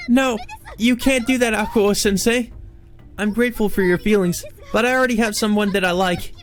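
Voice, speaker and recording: Man putting on a flustered high-pitched young woman. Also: fairly even intonation, moderate pace, faint music behind, close to an everyday voice. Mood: flustered refusal. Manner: pleading, girlish. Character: young woman, flustered, whiny, earnest and naive.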